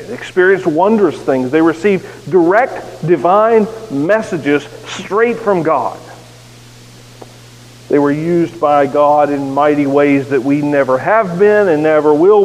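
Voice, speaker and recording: A man with a neutral American accent preaching a sermon as pastor, earnest with touches of self-deprecating humor. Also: on the high side. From United States. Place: church sanctuary. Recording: echoey sanctuary with faint hiss.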